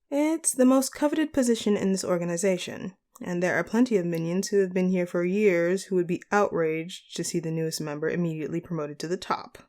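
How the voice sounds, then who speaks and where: Woman playing a mellow, courteous supervillain sorceress boss. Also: fairly low pitch, close to an everyday voice. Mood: reasoned, diplomatic. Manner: courteous, measured, formal managerial phrasing. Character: polite, charismatic female supervillain sorceress boss, caring and composed. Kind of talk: scripted audio roleplay, speaking to the listener.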